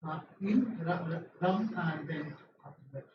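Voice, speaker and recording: A man's voice: fairly high pitch, unhurried. Male academic speaker, pointed replies, lo-fi noisy room recording. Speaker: speaker presenting a paper. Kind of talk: academic seminar discussion. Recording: roomy, distant, lo-fi hall recording with noise.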